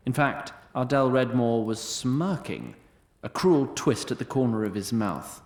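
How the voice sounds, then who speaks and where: A man's voice: mid-range voice, sing-song, unhurried, reverberant. A man narrating a scripted fantasy story in a wry storytelling manner.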